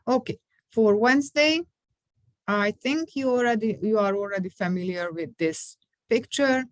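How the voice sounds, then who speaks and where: Middle-aged woman teaching english, talks slow n patient, repeats stuff, dry close mic. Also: medium pitch, dry recording. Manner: slow, patient, repeats simple phrases for learners. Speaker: ESL teacher. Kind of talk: classroom instruction recorded for students.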